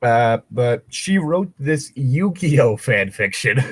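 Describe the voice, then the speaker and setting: A man's voice: mid-range voice, lively intonation, takes it slowly, husky, dry recording, clean studio sound. A man chatting casually and reading aloud as co-host, breaking off for sardonic, sweary asides. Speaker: co-host of a fan fiction reading show. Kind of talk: casual banter and read-aloud with commentary.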